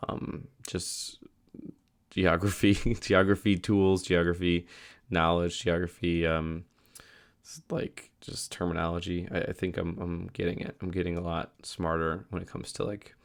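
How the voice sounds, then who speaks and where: A man's voice: deep voice, flat delivery, measured pace, vocal fry. A young man talking casually in a video diary, losing his voice from a cold and rambling with many fillers and restarts. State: losing his voice, a little sick. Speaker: vlogger.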